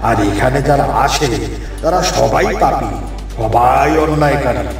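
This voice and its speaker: A menacing cave spirit king speaks in a heavy, creaky voice, taunting and passing judgment. The cartoon delivery is slow, set far back in deep echo with music behind it. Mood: cursing. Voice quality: heavy, gravelly voice. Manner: slow, taunting, booming pronouncements. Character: menacing cave king spirit, judging and cursing trapped men. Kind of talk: cartoon fairy tale acting.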